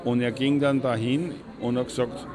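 A man's voice: medium pitch, steady tone, normal speed, crowd noise. A man telling an anecdote in a casual, conversational way.

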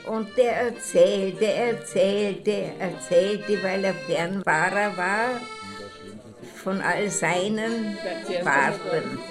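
A woman playing a chatty figure who recalls everyday encounters in a colloquial, halting way for an audio drama. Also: mid-range voice. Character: chatty woman recalling home-care visits and acquaintances. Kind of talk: casual anecdote telling. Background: accordion music.

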